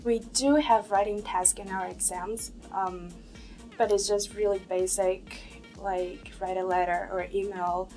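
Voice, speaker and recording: A young woman from southern China, a native Cantonese speaker, talking in a documentary interview as a first-year student, animated and tentative with fillers. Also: medium pitch, unhurried, soft background music. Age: young adult.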